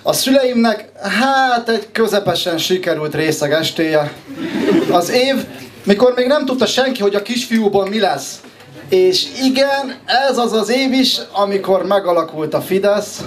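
High-pitched young man performing slam poetry. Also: lively intonation, background noise. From Hungary. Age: 20s. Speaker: slam poet, contestant.

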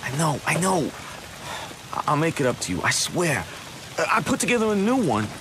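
An actor playing a talkative, nervous camp mechanic in a video game cutscene, rambling and stammering when cornered. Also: male voice, fairly high pitch, sing-song, normal speed. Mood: apologetic. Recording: clear rain-like noise behind.

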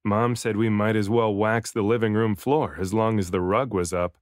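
A man reading a children's picture book aloud as an expressive storybook narrator, varying his delivery for emphasis. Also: fairly low pitch, normal speed, dry recording, studio quality. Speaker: children's book reader, dad and voice actor.

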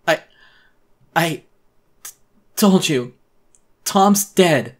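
A man's voice: fairly high pitch, expressive, drawn-out words, pitched above the performer's own voice. A man playing a frightened, bitterly furious male victim pushed past breaking, strained and dramatic, in a horror audiobook. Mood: choked, afraid.

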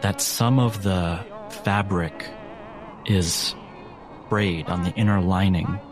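A man working as game master, narrating a dramatic tabletop horror game deliberately, with pauses between phrases. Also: low-pitched, steady tone. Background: music.

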